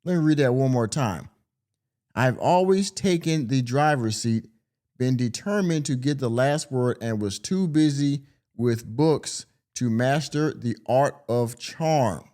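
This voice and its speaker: Podcast guy reading an article out loud, sarcastic running commentary, drags words out slow. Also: medium pitch, animated. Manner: drawling, sarcastic, stretches words for emphasis. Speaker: podcast host.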